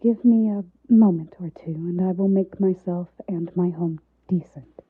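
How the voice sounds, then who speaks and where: A woman performs an alluring, troubled gothic lady in an ASMR roleplay. Her voice is breathy and soft, often sinking to a whisper, and she speaks very slowly in a lowish register. Her manner is formal, tender and teasing, yet guarded. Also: dry recording, phone-quality sound. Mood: reluctant, tender. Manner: slow, formal, teasing endearments.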